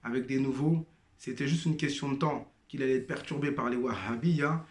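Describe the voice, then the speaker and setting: A man's voice: mid-range voice, a little fast, echoey room. A man giving a personal testimony monologue, hesitant with many fillers and restarts, addressing listeners as brothers and sisters. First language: not Arabic-speaking. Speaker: former member sharing testimony.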